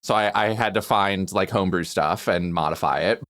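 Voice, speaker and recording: A podcast panelist chatting casually about tabletop games in an animated, emphatic way, airing gripes. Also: sits low, fairly quick. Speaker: podcast panelist and game master. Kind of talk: casual tabletop gaming chat.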